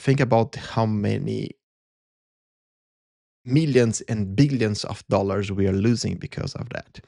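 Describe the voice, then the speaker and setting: A man's voice: mid-range voice, vocal fry, darkish tone, dry recording, studio quality. A man from Brazil with a Brazilian Portuguese accent, a Portuguese native speaker hosting a solo show, talking in an earnest, reflective way and searching for words in his second language. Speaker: podcast host.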